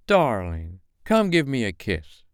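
A man's voice: medium pitch, wildly swooping pitch, slow pace, smooth, dry recording, studio quality, pitched above the performer's own voice, exaggerated voice. A man voicing a haughty, catty woman in an audiobook, irritated and scornful in manner. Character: haughty, catty statuesque woman, sharp and dismissive.

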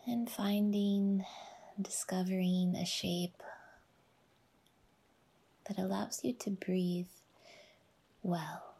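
Very slow, breathy woman guiding meditation. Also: medium pitch, steady tone. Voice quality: soft, breathy, whispery. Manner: soothing, unhurried, with long pauses. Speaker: yoga nidra guide and podcast host. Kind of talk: guided relaxation meditation.

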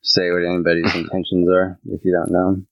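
An American man, a podcast co-host, chatting casually in an agreeable, measured way. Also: low voice, completely flat delivery, no echo, highs cut off. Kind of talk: casual chat. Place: outdoors by a fire.

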